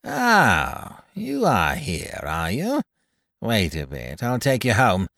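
A man voicing a sly, flattering merchant in a read-aloud story. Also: lowish voice, takes it slowly, vocal fry, no echo, clean studio sound, pitched above the performer's own voice, heavily acted.

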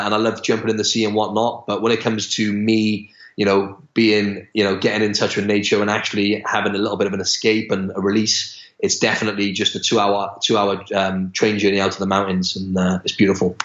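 A young man chats as a podcast guest in a fairly low voice, stumbling through restarts and fillers. His voice rings around an echoey room, and the recording sounds a little dull. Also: fairly bright tone. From Wales. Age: young adult. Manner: chatty, many restarts and fillers. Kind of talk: casual interview chat.

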